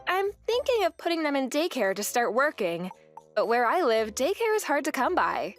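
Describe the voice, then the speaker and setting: A woman narrating a first-person manga dub story in an expressive, theatrical way. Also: high voice, moderate pace, soft background music. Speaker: narrator and voice actor.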